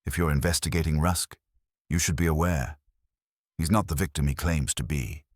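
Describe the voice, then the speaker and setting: A man's voice: rumbling low, steady tone, moderate pace, no echo, clean studio sound. A man voicing a haughty, poised businesswoman who speaks in a cool, self-assured and dismissive way.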